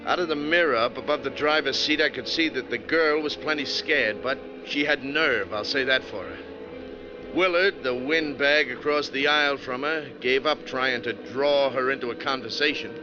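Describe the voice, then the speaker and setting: An American actor playing a folksy, easygoing bus driver, narrating wryly in the style of 1940s radio crime drama. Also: male voice, medium pitch, normal speed, music in the background, lightly acted. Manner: colloquial, wry. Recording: noisy, muffled 1940s radio recording.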